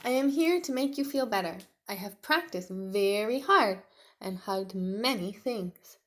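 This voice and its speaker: A woman's voice: medium pitch, lively intonation, a little slow, natural voice. A woman voicing a tiny, earnest young male T. rex in a children's storybook, with emphatic, childlike delivery. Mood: hopeful. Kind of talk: children's storybook read-aloud.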